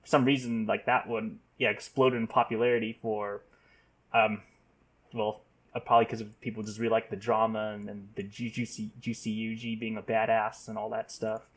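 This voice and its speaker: A man with a slightly rough voice talking casually as a podcast panelist. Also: lowish voice, level tone, normal speed.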